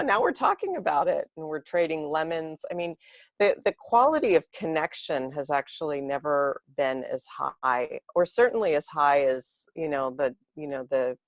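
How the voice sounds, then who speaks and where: A woman's voice: deep voice, lively intonation, a little slow, dry recording. A woman guest speaking earnestly and reflectively, building long flowing thoughts in conversation. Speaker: interview guest.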